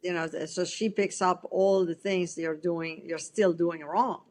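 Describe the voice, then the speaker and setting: A middle-aged woman from Italy with an Italian accent, a guest author chatting in an interview, with a halting manner full of restarts and light laughs. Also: on the low side, steady tone, a little mellow. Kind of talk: casual interview chat.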